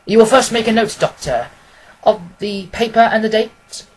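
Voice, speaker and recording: A woman voicing a confident, quick-witted gentleman detective from a storybook, precise and amused. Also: fairly high pitch, animated, pitched above the performer's own voice, low-quality recording. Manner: precise, expository, assured.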